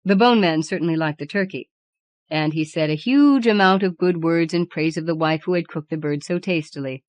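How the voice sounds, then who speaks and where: A woman's voice: deep voice, normal speed, a little mellow, dry recording, highs cut off. A woman reading fiction aloud as a scripted audiobook narration. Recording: dry audiobook recording from cassette.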